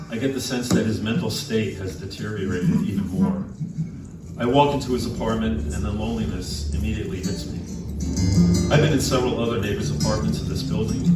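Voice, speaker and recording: A middle-aged man reading his own literary short story aloud at a live indoor event, in a measured way with pauses between short phrases. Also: on the low side. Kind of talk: literary spoken-word story reading with musical accompaniment. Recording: very echoey room, distant microphone. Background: live guitar accompaniment.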